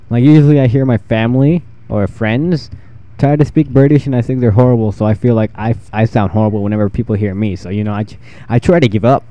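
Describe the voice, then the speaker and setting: A fourteen-year-old boy with a freshly deepened voice, rambling and self-deprecating while giving casual commentary over his gameplay. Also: warm tone, no echo, highs cut off. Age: 14, teenage boy with newly deepened voice. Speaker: gaming channel host.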